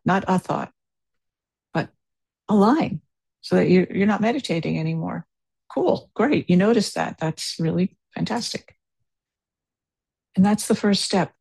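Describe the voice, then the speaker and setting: A woman guiding a meditation, slow, soft-spoken and unhurried, with long pauses. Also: fairly low pitch, breathy edge, no echo. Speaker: meditation teacher. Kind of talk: guided meditation.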